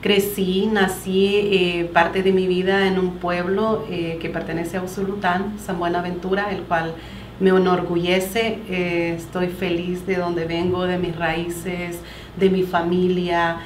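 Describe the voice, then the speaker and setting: Low flat-voiced Salvadoran woman. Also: slow, far from the mic. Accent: Salvadoran Spanish. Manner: earnest, quotes scripture. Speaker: guest. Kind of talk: personal testimony. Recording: echoey room with background noise.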